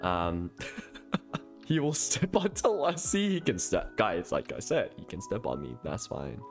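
A man's voice: fairly low pitch, measured pace, creaky. A man in his thirties giving casual, exclamatory live reactions as a streamer, on a close desk mic. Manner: excitable, exclamatory. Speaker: streamer reacting to a game trailer. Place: small room at home, indoors. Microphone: close desk microphone. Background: faint game trailer music.

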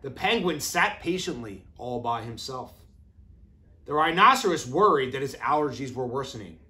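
A man in his thirties reading a children's storybook aloud with deliberate, expressive phrasing, as an educator does for young children. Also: medium pitch. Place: classroom, indoors.

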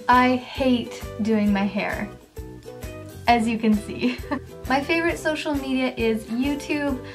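A woman of twenty with a naturally quiet, soft voice, chatting casually to the camera in her bedroom for a facts-about-me vlog, filling her talk with "like" and the odd laugh. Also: medium pitch. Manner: chatty, filler-heavy, laughs often. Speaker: beauty vlogger. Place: bedroom, indoors, small. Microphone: camera at close range, facing it. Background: background music.

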